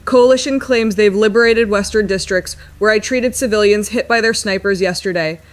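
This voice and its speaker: A woman playing a paramedic who phones a radio show in a war drama, giving a grave, measured eyewitness account. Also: mid-range voice, close to an everyday voice. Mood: grim, measured. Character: grim, weary female paramedic witnessing a city siege. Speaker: radio caller.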